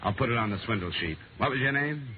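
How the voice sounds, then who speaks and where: An actor playing a wry, tough, unflappable insurance investigator in a 1950s radio detective drama, sardonic and dry. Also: male voice, mid-range voice, animated, quick pace, natural voice. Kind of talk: 1950s radio detective acting and first-person narration. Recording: noisy, tinny 1950 radio broadcast.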